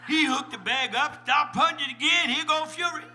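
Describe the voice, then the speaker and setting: A man's voice: very high voice, normal speed, bright, forceful tone, soft background music, full character voice. A man voicing a goofy, folksy cartoon narrator, rambling and amused, in a live comedic read.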